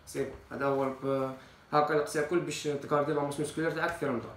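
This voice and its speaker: A man's voice: a little high, level tone, very fast, roomy sound, slight background noise. A man in his twenties with an Algerian Arabic accent gives casual fitness advice to camera, mixing in French loanwords, recorded in a tiled room. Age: 20s. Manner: informal, mixes in French loanwords. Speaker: fitness vlogger. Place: small tiled kitchen, indoors.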